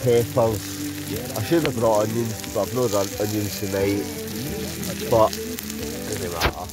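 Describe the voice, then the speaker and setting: Scottish camping vlogger, lowish voice, upbeat chat to viewers over music at a night fire. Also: male voice, measured pace, poor audio. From Scotland. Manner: cheery, chatty, addressing viewers as folks. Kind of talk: casual camping vlog. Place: night campfire in a forest, outdoors. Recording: outdoor campfire recording with music behind. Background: music playing, food sizzling.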